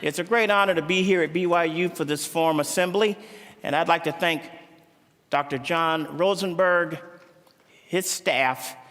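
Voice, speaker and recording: A man's voice: a little high, moderate pace, fairly bright tone. A man from Florida, a jazz pianist and bandleader, giving a gracious, formal speech to an audience. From Florida, USA. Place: large indoor arena. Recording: reverberant arena sound.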